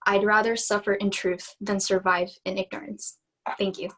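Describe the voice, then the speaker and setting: A woman's voice: medium pitch, little pitch movement, normal speed, a bit bright, low-quality recording. Earnest young woman, native Tagalog speaker, presenting. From Philippines. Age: young adult. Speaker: student presenter and poet. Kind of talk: prepared presentation and poem recitation. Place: online video call.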